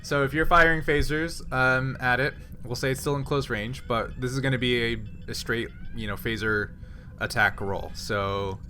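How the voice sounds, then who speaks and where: Bright-voiced man running a tabletop game. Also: medium pitch, fairly even intonation, normal speed, faint music behind. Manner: informal, conversational. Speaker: game master. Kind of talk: improvised narration and rulings in a tabletop sci-fi game.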